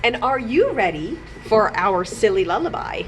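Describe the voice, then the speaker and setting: A woman's voice: medium pitch, moderate pace, rough recording. A woman presenting a library storytime for children, playfully talking, reading aloud and singing straight to her young audience, with noise in the recording. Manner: animated, playful, talks directly to young children. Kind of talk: children's storytime with read-aloud and sing-along.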